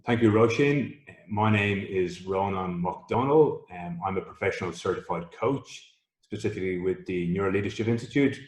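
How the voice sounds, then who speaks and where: A man with a very deep voice hosts a webinar over a video call. He talks politely and in an orderly way as he sets out how the session will run. His voice has a roomy echo. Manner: polite, organized, explains logistics. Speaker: coach and co-host. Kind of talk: webinar introduction.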